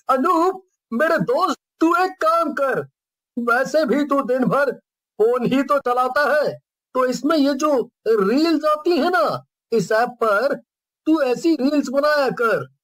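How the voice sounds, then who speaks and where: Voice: sits high, slow pace, a bit bright, rough recording. A performer voicing a sensible young village man in a cartoon story who teases his lazy friend. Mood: teasing. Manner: teasing, chuckling. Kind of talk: cartoon voice acting.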